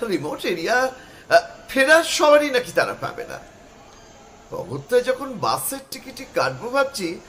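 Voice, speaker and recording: An actor playing a cheerful young male art student who narrates the story in an expressive, literary audio-drama style. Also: high voice, slight background noise, exaggerated voice. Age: young adult.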